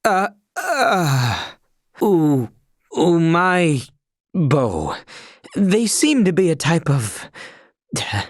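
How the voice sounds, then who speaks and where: Casting: a loyal young royal knight for a game, expressive range, soft husky edge, dry close sound, ornate old-fashioned diction. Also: male voice, fairly high pitch, drawn-out words, long pauses, dry recording, full character voice. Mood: flustered, eager. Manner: formal, archaic, flowery courtly diction. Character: devoted young male knight serving a royal, earnest and courtly. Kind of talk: video game voice acting.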